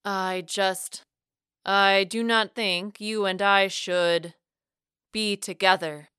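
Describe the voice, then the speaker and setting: Woman voicing a reserved, earnest man, slow and formal. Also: medium pitch, fairly even intonation, sharp tone, clean tone, no echo, studio quality. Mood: reluctant, firm. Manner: deliberate, formal wording without contractions. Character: reserved, sheepish, earnest man pushing away his love. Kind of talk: dramatic audiobook dialogue.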